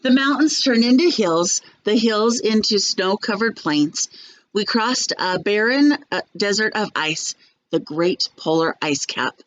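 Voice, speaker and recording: A woman reading a children's storybook aloud like a teacher, in an expressive, measured storytelling manner. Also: medium pitch, muffled recording.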